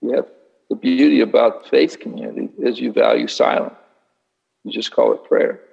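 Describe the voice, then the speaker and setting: A man leading a Q&A with an audience in a meeting hall, talking in an unhurried, musing way with dry humor. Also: mid-range voice, vocal fry, a little mellow, husky, highs cut off. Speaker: speaker leading a Q&A session. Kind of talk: reflective talk answering an audience.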